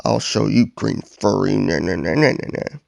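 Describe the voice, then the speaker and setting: A man's voice: lowish voice, flat delivery, takes it slowly, vocal fry, no echo, studio quality. Man putting on a huffy, boastful green monster. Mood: muttering anger. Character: grumpy, boastful, indignant big green hairy male monster.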